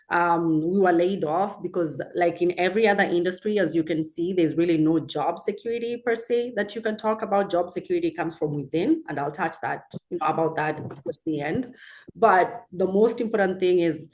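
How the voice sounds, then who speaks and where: A woman from Kenya speaks on a webinar over a video call. Her voice sits a bit low, and she talks in a candid, conversational way with plenty of fillers. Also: moderate pace. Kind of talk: casual presentation.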